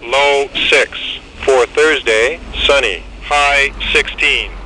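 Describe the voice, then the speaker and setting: Bright, forceful automated male weather announcer. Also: medium pitch, slow pace. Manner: clipped, segmented phrasing. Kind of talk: automated scripted weather forecast reading. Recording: noisy weather radio receiver.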